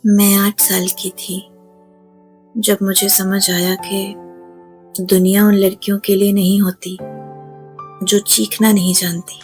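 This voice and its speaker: An actress playing a guarded young woman wounded by childhood pain in an audio drama, speaking haltingly and emotionally. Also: mid-range voice, level tone, soft background music, close to an everyday voice. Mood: sad, confiding. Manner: halting, emotional, drops to hushed tones. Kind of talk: Hindi audio drama acting.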